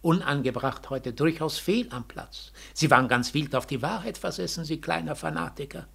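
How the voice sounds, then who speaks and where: A gravelly, cynical cabaret director. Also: male voice, on the high side, moderate pace, fairly bright tone, heavily acted. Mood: cynical, dismissive. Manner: theatrical, patronizing, flippant. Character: glib, cynical cabaret director, condescending and dismissive. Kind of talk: 1940s radio play acting. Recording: vintage 1940s radio recording.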